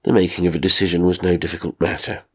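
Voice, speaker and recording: Deep, husky male story narrator. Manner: measured, formal, expressive storytelling. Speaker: audiobook narrator. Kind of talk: literary horror story narration.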